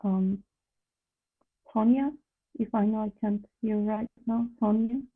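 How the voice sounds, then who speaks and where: A woman's voice: mid-range voice, steady tone, takes it slowly. A young woman introducing herself on a video call, with many hesitations. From Turkey. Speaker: volunteer graphic designer. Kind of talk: online webinar self-introduction. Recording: tinny phone-like call audio.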